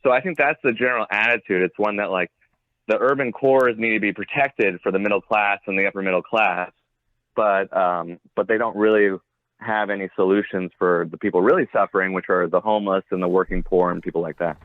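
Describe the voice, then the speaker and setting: A man's voice: on the low side, fairly even intonation, brisk, no room sound. A man giving analysis as an interview guest, tentative and often restarting as he thinks aloud. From United States. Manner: tentative, hedging, thinking aloud with repeated starts. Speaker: interview guest, journalist and author. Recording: muffled, thin phone-like line.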